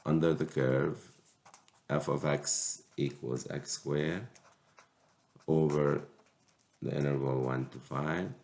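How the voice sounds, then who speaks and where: Sick male math teacher, slow halting lecture delivery, very low voice. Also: fairly even intonation, a little mellow. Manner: slow, halting explanation with restarts. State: sick with a cold. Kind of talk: recorded calculus lecture.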